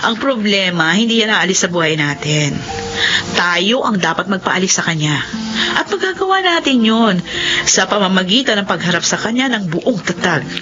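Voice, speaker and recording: A woman's voice: medium pitch, moderate pace, over music, natural voice. A Filipino woman playing an upbeat, encouraging middle-aged old classmate in a radio drama. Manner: animated, encouraging. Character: upbeat, take-charge former high school classmate visiting home. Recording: dull, lo-fi, faintly noisy radio recording.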